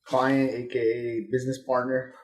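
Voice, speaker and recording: A man's voice: mid-range voice, monotone, moderate pace. A man hosting as a barber, asking rambling questions full of fillers while cutting hair in a barbershop. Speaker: host and barber. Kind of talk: casual interview chat.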